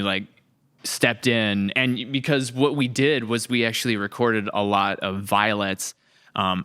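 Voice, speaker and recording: A man hosting a podcast in casual chat, with a clear, smooth voice and a teasing manner. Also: on the low side, a bit bright. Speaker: podcast host.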